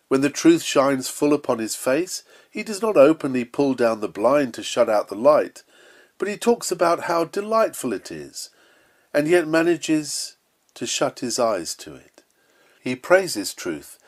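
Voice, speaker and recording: A man reads a nineteenth-century sermon from a script. His voice sits at an average pitch for a man. He phrases it in measured clauses and delivers it earnestly, with clear emphasis. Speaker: sermon reader. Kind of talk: read-aloud Victorian sermon, scripted narration.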